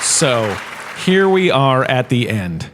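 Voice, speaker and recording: A man's voice: medium pitch, very animated, slow, fairly bright tone, a faint crowd, slight background noise. A man playing a vain, bumbling washed-up star turned history lecturer in a comedic audio drama, with a theatrical, rambling, self-important delivery. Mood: chatty, self-satisfied.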